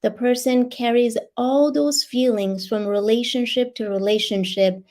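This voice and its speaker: A raspy-voiced woman from Iran, sharing as a guest author over an online video call. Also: a little high, fairly even intonation, a little slow, darkish tone. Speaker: guest author and life coach. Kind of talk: personal sharing and reading aloud.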